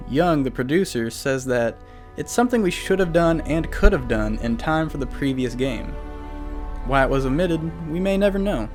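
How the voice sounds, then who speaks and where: A man narrates a scripted retrospective as the host of a video series. His delivery is wry, with casual jokes slipped into the explanation. A music bed runs lightly behind his mid-pitched voice. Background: background music.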